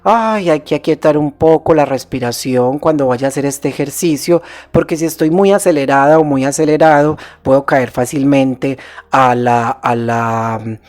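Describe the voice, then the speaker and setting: A man, a psychologist hosting his own show, talking gently and steadily with some hesitations and restarts. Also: mid-range voice, moderate pace. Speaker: psychologist host. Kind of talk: calm advice talk.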